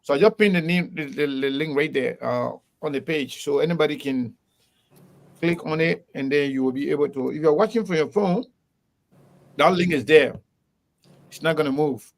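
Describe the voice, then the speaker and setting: A man hosting a casual live interview, animated and quick to laugh, often repeating his words. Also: a little high, gravelly. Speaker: host.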